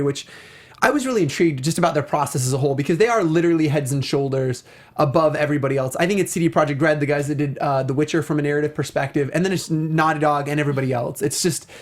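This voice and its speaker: A man's voice: on the high side, fast. Enthusiastic man co-hosting a talk show. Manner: enthusiastic, effusive. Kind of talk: casual film and video game discussion.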